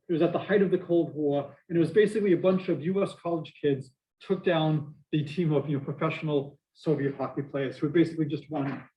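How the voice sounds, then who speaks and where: A middle-aged man with a Boston accent giving a university math lecture, lively and full of asides and questions to the class. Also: fairly high pitch, rough recording. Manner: energetic, prone to asides and questions to students. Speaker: math professor.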